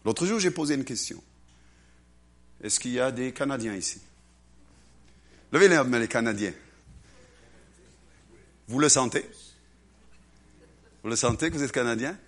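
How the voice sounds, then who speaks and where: A man preaching a lively sermon to a congregation, acting out little voices and swinging between loud and hushed delivery. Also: medium pitch, unhurried, long pauses. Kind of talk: animated sermon.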